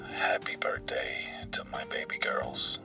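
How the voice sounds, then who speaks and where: An actor playing a proud, tender father in a radio drama, speaking in a hushed way. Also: takes it slowly, in a whisper, far from the mic, music in the background, lightly acted. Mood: tender pride.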